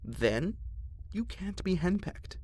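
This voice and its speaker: A man's voice: sits high, big pitch swings, slow pace, a little mellow, slightly rough, pitched above the performer's own voice, exaggerated voice. A man voicing a cheeky, joking young son in a playful, mocking whisper.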